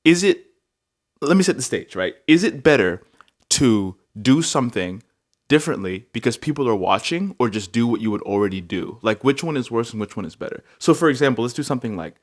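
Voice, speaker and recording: A young man from Africa co-hosting a faith podcast, musing aloud in casual chat with lots of fillers and lively leaps in pitch. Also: a little fast. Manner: reflective, many filler likes, animated jumps in pitch. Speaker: podcast co-host.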